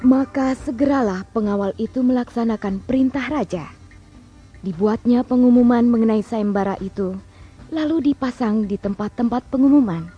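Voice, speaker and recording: A woman storyteller with a very dark, mellow, slightly high voice and a husky edge. She narrates a children's tale over music, on slightly dull audio.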